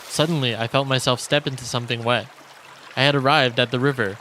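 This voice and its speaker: A male streamer reading visual novel narration aloud in a solemn, deliberate storytelling manner. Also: medium pitch, fairly even intonation, pushed and bright, slight background noise. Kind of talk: dramatic reading of game narration. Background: game music.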